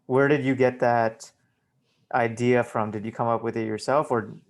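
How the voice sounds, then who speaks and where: A man hosting a podcast in a casual interview, polite and measured as he thanks his guest. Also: medium pitch, fairly even intonation. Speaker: podcast host.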